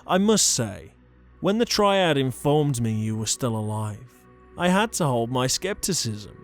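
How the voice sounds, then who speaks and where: Man playing a slow, pompous, menacing holy inquisitor. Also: mid-range voice, big pitch swings, soft background music. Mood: smug, menacing. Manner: pompous, deliberate, formal. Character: zealous male holy inquisitor, menacing and smug. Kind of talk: dramatic audio roleplay acting.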